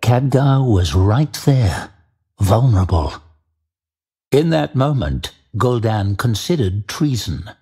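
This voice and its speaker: A man reading dramatic scripted fantasy narration as an audiobook narrator, measured and deliberate. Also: lowish voice, sing-song, warm tone, husky.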